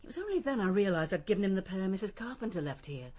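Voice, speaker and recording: An actress playing a shaken witness recounting events in a radio drama. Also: female voice, mid-range voice, sing-song, fast, a little mellow, husky. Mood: shaken recollection. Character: shaken female witness recounting a frightening outburst.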